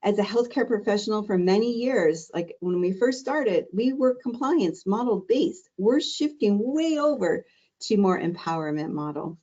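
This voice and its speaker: A middle-aged woman, a diabetes educator, teaching an exam-prep webinar in a warm, conversational, encouraging way. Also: medium pitch, animated, normal speed, highs cut off. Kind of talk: live online exam-prep lesson.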